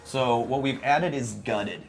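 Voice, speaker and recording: A man's voice: medium pitch, takes it slowly, creaky, slight background noise. A man giving casual, enthusiastic gameplay commentary as a developer showing off a video game, with frequent exclamations.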